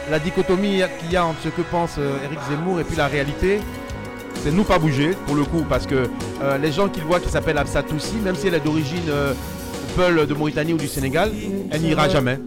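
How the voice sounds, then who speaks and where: A man making his point in a talk show debate, measured and halting with fillers. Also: on the high side. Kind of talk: casual debate. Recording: music clearly playing behind.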